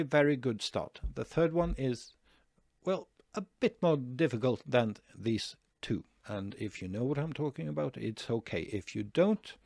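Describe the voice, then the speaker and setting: A man's voice: mid-range voice, a little mellow, dry recording, clean studio sound. A Norwegian man talking through a screen-share tutorial, hesitant, with many fillers and restarts. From Norway. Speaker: online marketing tutor.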